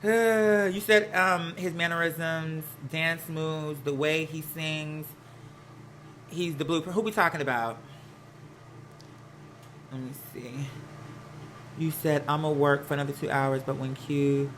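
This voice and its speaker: Bright, sassy American live streamer. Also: mid-range voice, a little hiss or hum. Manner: sassy, slangy, peppered with "girl" and "honey"; animated, rambling, jumps between asides. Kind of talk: casual live chat to viewers, gossipy commentary.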